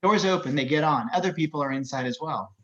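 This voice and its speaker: A man's voice: on the high side, fast, lo-fi sound. A man narrating screenplay action descriptions as scripted narration. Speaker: narrator of stage directions.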